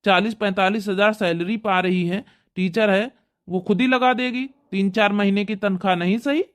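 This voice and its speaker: A man playing a brisk, insistent village doctor in a cartoon comedy, talking matter-of-factly. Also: high-pitched, steady tone, fairly bright tone.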